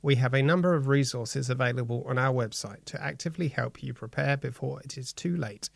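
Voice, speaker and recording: A man's voice: mid-range voice, a little fast, creaky, no echo. An Australian man reading a scripted public safety statement. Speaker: reader of quoted statements.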